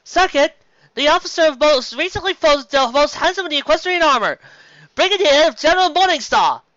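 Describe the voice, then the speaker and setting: A man voicing a showy pony master of ceremonies, loud, formal and proclaiming, on a dull lo-fi recording. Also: very high voice, animated, fairly quick, no echo, pitched above the performer's own voice, heavily acted.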